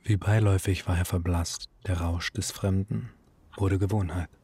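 An actor playing a composed narrator, reading literary radio drama prose in a measured, formal way. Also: male voice, low voice, fairly even intonation, mellow, husky, no echo, heavily acted. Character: composed literary narrator observing a woman's affair.

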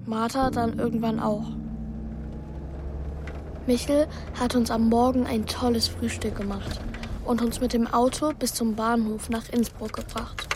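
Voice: medium pitch, level tone, a little slow, music in the background, lightly acted. A performer playing a sensitive, hot-tempered boy who narrates and acts in a children's radio play with youthful earnestness. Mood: narrating.

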